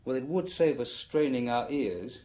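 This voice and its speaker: A man's voice: medium pitch, lively intonation, moderate pace, mellow, close to an everyday voice, poor audio. An actor playing a diligent local police inspector in an audio drama, giving a conversational, slightly hesitant briefing to his superior. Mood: matter-of-fact. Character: local male police inspector, matter-of-fact, diligent, deferential.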